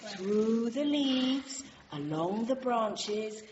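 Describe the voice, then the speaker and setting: A woman playing someone reading a gentle children's tale aloud in a radio drama, phrase by deliberate phrase. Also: on the high side, animated. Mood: gentle storytelling. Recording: echoey room, dull, noisy recording.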